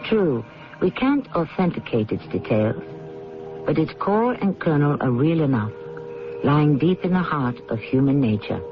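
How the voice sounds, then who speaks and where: Animated, portentous male radio mystery host. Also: fairly high pitch, music in the background. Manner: portentous, deliberate. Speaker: host of a mystery drama series. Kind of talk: theatrical scripted radio narration. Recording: dull lo-fi old radio recording.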